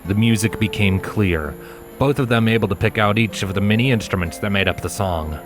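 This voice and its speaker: A man narrates a story in a fairly deep voice. His delivery is measured and expressive, following a written script. Soft music runs underneath.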